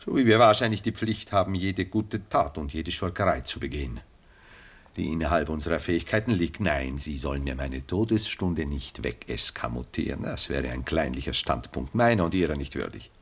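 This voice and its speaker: An actor playing a worldly, imperturbable gentleman in German radio drama, speaking formally and eloquently in measured, philosophical phrases. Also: a bass voice, expressive, husky, exaggerated voice. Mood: solemn, proud.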